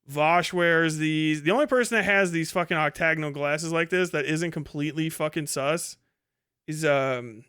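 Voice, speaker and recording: A man's voice: a little high, normal speed, fairly bright tone, clean tone. A man hosting a casual livestream commentary, swearing heavily in an exasperated, incredulous way. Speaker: livestream host.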